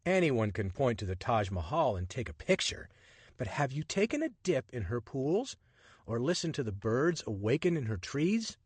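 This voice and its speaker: A man's voice: medium pitch, very animated, normal speed, husky, no echo, pitched above the performer's own voice. A man doing a breezy, bold adventurer. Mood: enthusiastic. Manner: breezy, persuasive, expansive. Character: bold, chatty young male adventurer.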